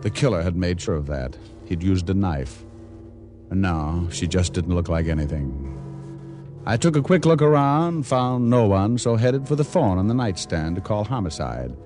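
An actor playing a hard-boiled, wisecracking private detective in vintage radio noir, with a wry, deadpan, slangy delivery. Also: male voice, low-pitched, normal speed. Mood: deadpan narrating. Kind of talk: 1940s-50s radio noir acting and narration. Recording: vintage radio recording with music behind.

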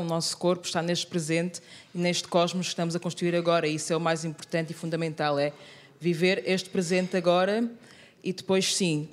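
A woman theatre maker answering interview questions in a playful, earnest way. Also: fairly low pitch.

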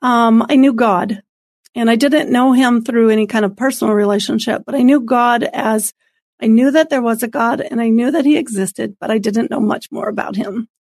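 A woman's voice: a little high, normal speed, darkish tone, dry recording, studio quality. A woman in her fifties giving an earnest, devout personal testimony as an interview guest. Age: 50s. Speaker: guest, author and homeschooling mother.

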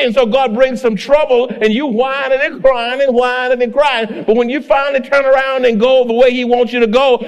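A male pastor preaching a sermon, swinging between loud exclamations and low asides.

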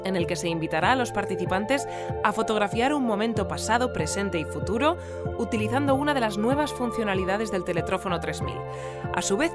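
A woman playing a composed news anchor in a sci-fi audio drama, reading a scripted bulletin in a formal, measured way. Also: medium pitch, natural voice. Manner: formal, measured announcer delivery. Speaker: newscast presenter. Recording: music bed under the voice. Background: music.

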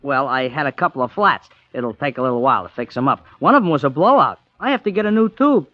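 An actor playing an eager, cocky, good-natured driver sidekick, keen and boastful, in a 1940s radio comedy-mystery. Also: male voice, medium pitch, animated, quick pace, dry recording, natural voice. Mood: boastful, putting on. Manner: keen, boastful, play-acting. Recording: muffled dry 1940s radio recording.

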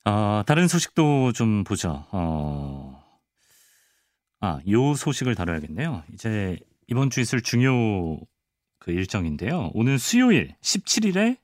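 Man hosting radio, deep but animated. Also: measured pace, dry recording, clean studio sound. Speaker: radio show host. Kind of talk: conversational current-affairs radio hosting.

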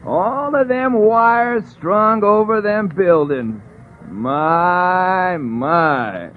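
An actor playing a folksy, disapproving townsperson with drawn-out rustic speech, in 1940s radio drama style. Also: male voice, high-pitched, animated, heavily acted. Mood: marveling. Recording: noisy, tinny 1940s radio.

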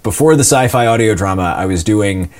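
Low-pitched young man with polished voice. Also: expressive, brisk. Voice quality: polished announcer-like voice. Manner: self-deprecating, joking. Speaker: voice actor guest. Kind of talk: casual interview storytelling.

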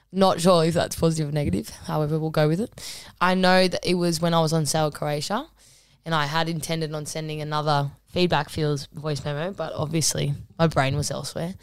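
Woman co-host, husky and low, laid-back rambling delivery, dry close recording. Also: no room sound. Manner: relaxed, rambling, many ums. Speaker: podcast co-host. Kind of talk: casual podcast chat.

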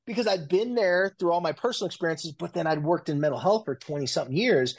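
Chatty man with a higher voice. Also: lively intonation, fast, slightly rough. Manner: loose and chatty, many "like"s and restarts. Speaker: guest, family and marriage therapist. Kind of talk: casual interview conversation.

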